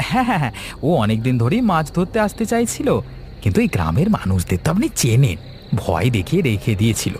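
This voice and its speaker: A man's voice: medium pitch, very animated, fast talker, darkish tone, a little hiss or hum, natural voice. A man voicing an earnest, practical village fisherman in a cartoon ghost story. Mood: nervously polite. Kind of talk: animated ghost story dialogue.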